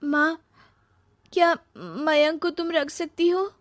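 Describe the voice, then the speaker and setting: Storybook daughter role, female narrator: young wife, very high voice, pleading, dramatic, distressed. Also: takes it slowly, no room sound, studio quality. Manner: plaintive, emphatic.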